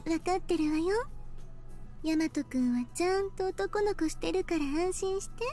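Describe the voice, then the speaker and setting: An actress playing a teasing, affectionate young goddess idol with a big-sisterly manner in a Japanese visual novel. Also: female voice, extremely high pitch, fast, warm tone. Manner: playful, coaxing. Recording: music behind game dialogue. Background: background music.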